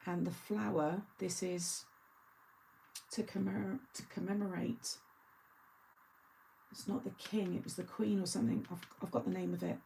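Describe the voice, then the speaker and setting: A woman's voice: mid-range voice, steady tone, moderate pace, creaky, pauses often, warm tone, a little hiss or hum, poor audio. A middle-aged woman hosting a craft channel, chatting casually and rambling through asides with frequent laughter. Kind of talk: casual live chat.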